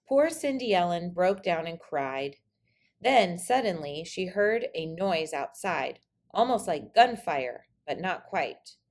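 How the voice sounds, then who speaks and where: Unhurried, animated woman reading a storybook. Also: medium pitch. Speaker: storybook reader. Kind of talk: expressive children's read-aloud.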